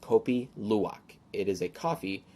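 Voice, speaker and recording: A young man hosting a solo podcast, rambling casually with lots of fillers and restarts, his voice a little hoarse from a sore throat. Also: lowish voice, moderate pace, dark tone. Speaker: podcast host.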